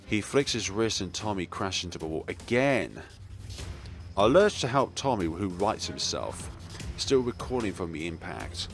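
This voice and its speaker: Animated low-voiced man reading a game aloud. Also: unhurried, faint music behind. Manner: expressive, acts out dialogue, chuckles and stumbles over words. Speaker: let's play host. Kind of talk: reading a visual novel aloud with casual commentary.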